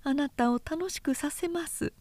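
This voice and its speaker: A woman narrator plays a coaxing noblewoman in a classic tale. She speaks in a raised, soft, mellow voice, sweetly insistent and persuasive. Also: sits high, a little slow, no echo, pitched above the performer's own voice. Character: coaxing, smooth-talking noblewoman urging a relative to travel.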